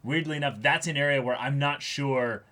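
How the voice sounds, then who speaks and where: A man's voice: mid-range voice, normal speed, bright, forceful tone. A man talking casually and analytically as co-host of a reaction show, emphatic and opinionated.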